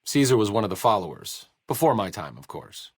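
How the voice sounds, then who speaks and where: A bookish, self-deprecating video game doctor, speaks in a low, closely recorded voice. His delivery is sardonic and erudite, rising and falling freely as he makes his dry understatements. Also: sing-song, fairly quick, breathy edge, dry recording. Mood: dryly critical. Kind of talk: video game character dialogue.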